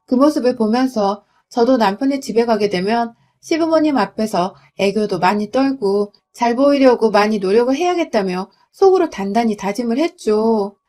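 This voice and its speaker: A young woman from Gwangju narrating a first-person story in a smooth, confiding reading voice. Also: on the high side, animated, normal speed, hardly any pauses, darkish tone. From Gwangju, South Korea.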